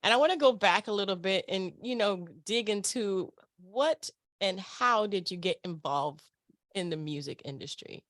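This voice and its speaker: A woman's voice: mid-range voice, lively intonation, moderate pace. Lady radio host chatting casually w a guest, exuberant n slangy, dry sound no echo. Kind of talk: casual interview.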